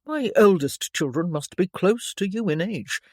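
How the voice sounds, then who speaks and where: A stiff middle-aged gentleman, as a woman reader puts him on, speaking in a measured, formal way that is only just loosening. The voice sits low, and the recording is dry and close. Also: animated, no room sound. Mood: courteous. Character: stiff middle-aged male baronet, father, slowly unbending.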